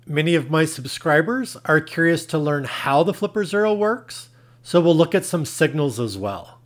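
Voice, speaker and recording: Male tutorial narrator, pitch a little above average, plain methodical step-by-step instruction. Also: animated, normal speed. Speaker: tutorial host.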